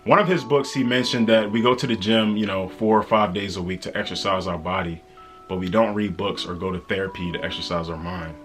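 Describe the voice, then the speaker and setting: An American man with a fairly low voice speaks straight to his viewers as a vlogger. His delivery is conversational, earnest and peppered with slang, in the manner of a motivational talk. Soft music plays faintly behind him. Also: fairly even intonation, brisk. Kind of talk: casual motivational book talk to camera.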